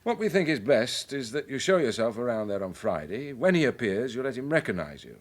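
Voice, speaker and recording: An actor playing a brisk, authoritative senior police officer in a radio drama, measured and matter-of-fact. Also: male voice, mid-range voice, sing-song. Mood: instructing. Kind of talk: naturalistic radio drama acting.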